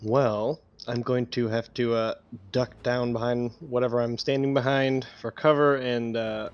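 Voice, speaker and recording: A man takes his turn in a tabletop game in an even, little-varying voice. He talks casually and haltingly, and the sound is somewhat dull and lo-fi. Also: medium pitch, smooth. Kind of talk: casual, hesitant game talk.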